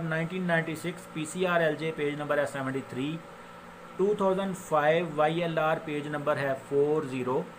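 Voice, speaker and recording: Higher-pitched Pakistani man lecturing, noisy recording. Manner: explanatory, switches into English legal phrases, reading English slowly and haltingly. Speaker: law lecturer and advocate. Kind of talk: informal lecture explaining law with examples. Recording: noticeable background noise.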